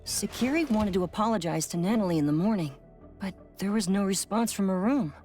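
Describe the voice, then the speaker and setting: A woman's voice: medium pitch, fairly quick, warm tone, faint music behind, lightly acted. A woman voicing a composed, sympathetic companion character in a video game. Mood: concerned.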